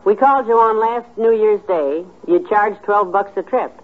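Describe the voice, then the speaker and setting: A woman playing a gently teasing, good-natured middle-aged wife in a 1950s radio sitcom, on a thin old recording. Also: medium pitch, normal speed, narrow, tinny audio, natural voice.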